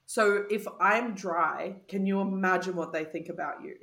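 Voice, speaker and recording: A woman's voice: medium pitch, fairly bright tone. Blunt Australian woman in an echoey room. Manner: blunt, swears freely, quick teasing. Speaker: podcast co-host. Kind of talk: casual chat.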